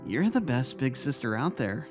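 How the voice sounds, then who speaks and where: A man's voice: medium pitch, sing-song, moderate pace, close to an everyday voice. Man playing an earnest, kind school vice-principal over music. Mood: sentimental, grateful. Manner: earnest, gentle, encouraging. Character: kind, reassuring male vice-principal, warm brother. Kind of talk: audio drama fan fiction reading. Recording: music under the voice.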